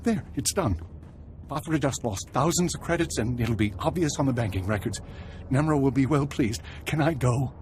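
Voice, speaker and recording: A jittery young man, played by an actor, talks in quick, anxious bursts. His mid-range voice leaps up and down, and a clear ambient hum runs behind him. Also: medium pitch, lively intonation, natural voice. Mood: nervous. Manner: nervous, eager to please. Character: nervous young man, resentful of his father.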